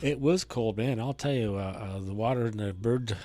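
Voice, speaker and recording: Low-voiced man in casual radio chat. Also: normal speed, heavy background noise. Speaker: amateur radio operator. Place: amateur radio contact.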